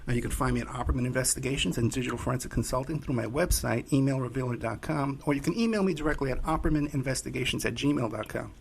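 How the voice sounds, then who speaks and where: A man's voice: medium pitch, fast talker, vocal fry, hardly any pauses, a little hiss or hum. A man hosting a podcast as a private investigator, talking loosely with frequent fillers. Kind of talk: casual podcast hosting and ad reads.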